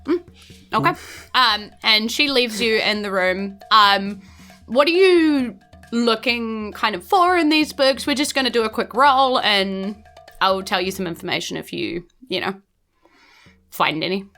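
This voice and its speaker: A woman's voice: fairly high pitch, expressive, a little slow, pushed and bright, soft background music. A woman narrating a tabletop game as game master in a casual, improvised, conversational way.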